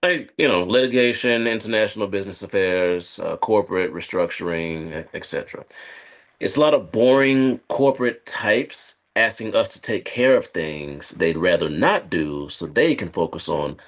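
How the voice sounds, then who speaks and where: Man acting guarded corporate guy, lowish pitch, hesitant, evasive, conversational drama reading. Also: expressive, moderate pace. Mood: evasive, explanatory. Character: guarded male corporate operative on a first date. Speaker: actor at a live script read. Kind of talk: conversational screenplay table read.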